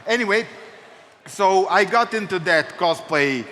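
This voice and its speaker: A young man from Serbia talks to a convention crowd with wry, self-mocking jokes. His voice is bright and sits a bit high for a man. It rings out in a reverberant hall with a faint hiss underneath. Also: lively intonation, measured pace. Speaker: convention panelist. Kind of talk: joking convention talk.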